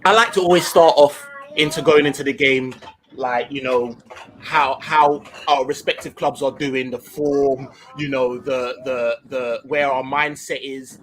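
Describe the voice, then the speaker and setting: A man's voice: mid-range voice, fairly even intonation, a little slow, fairly bright tone, low-quality recording. A man hosting a casual football chat, slangy and effusive, repeating phrases for emphasis. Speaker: host of a football fan channel. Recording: faint background noise.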